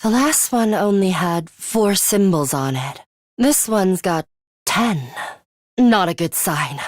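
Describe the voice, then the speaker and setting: A woman voicing a ruthless, blunt high school girl fighter in a video game, composed, deadpan and commanding. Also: slow pace, no room sound, studio quality. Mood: cold resolve. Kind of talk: scripted English game voice acting.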